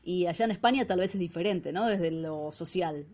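Argentine female radio host, lowish voice, warm curious questioning. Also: moderate pace. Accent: Argentine Spanish. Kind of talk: radio interview.

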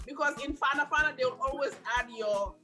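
A woman's voice: high-pitched, brisk. A woman co-hosting a show with casual, teasing banter. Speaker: talk show co-host. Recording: loud music behind the voice.

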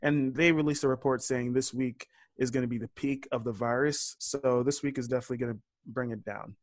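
A man's voice: mid-range voice, steady tone, a little fast, no echo, studio quality. A young man, a university student, talking earnestly about his podcast in a casual interview. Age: young adult. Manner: earnest, eager to debate. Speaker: podcast host, guest.

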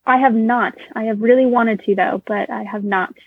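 A woman's voice: a little high, steady tone, moderate pace, slightly rough, dry recording. A woman in her twenties, a native Russian speaker, answering interview questions thoughtfully with small fillers. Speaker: interview guest. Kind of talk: casual interview conversation. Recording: tinny phone line.

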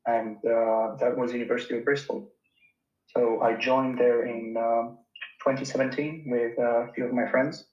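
A man's voice: mid-range voice, little pitch movement, moderate pace, reverberant, highs cut off. A young man from Romania in his twenties, an engineer answering interview questions in a thoughtful, hesitant way with many fillers and restarts. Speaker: engineer guest.

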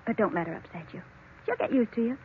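A woman playing an earnest young niece and new bride, gentle and placating, in old radio drama style. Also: medium pitch, expressive, fast, slight background noise, close to an everyday voice. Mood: affectionate. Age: young adult. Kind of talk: 1940s radio drama acting. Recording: tinny, narrow old radio recording.

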